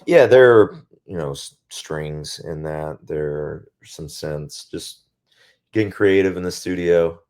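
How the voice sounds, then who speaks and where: A male singer with a naturally very deep voice, talking casually and reflectively in an interview, often pausing to find his words. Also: a little mellow. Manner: reflective, searching for words with many pauses. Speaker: band singer as guest.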